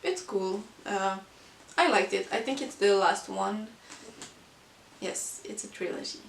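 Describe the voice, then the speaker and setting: A woman who is not a native English speaker, chatting casually about books as a vlogger in a halting, hesitant way. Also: mid-range voice, creaky. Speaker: book vlogger. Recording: roomy, distant mic with hiss.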